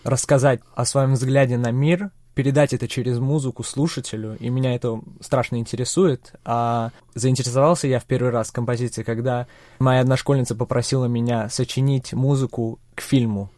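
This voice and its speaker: Soft-spoken young man, thoughtful. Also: medium pitch, fairly even intonation, no echo. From Czech Republic. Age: young adult. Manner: measured, hesitant, many fillers. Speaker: interviewee, young composer. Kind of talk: thoughtful interview answers.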